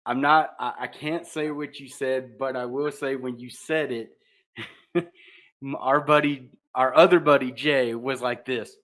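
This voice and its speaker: A man co-hosting a podcast interview, casual and halting as he searches for his words. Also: medium pitch, steady tone, a bit bright. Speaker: podcast co-host.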